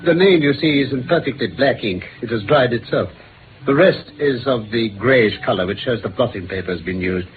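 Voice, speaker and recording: Through a narrow, noisy vintage radio recording comes a man acting a brisk British detective. His delivery swings widely in pitch, precise and theatrical, full of shrewd self-assurance, as in a 1950s radio play. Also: expressive, close to an everyday voice. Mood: incisive, assured. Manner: precise, theatrical, deductive pronouncing.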